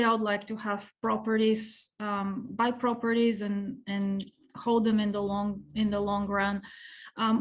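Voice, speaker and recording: A woman from Bulgaria answering interview questions as a guest, speaking in a measured, earnest way with light fillers. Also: a little high. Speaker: podcast guest, real estate investor.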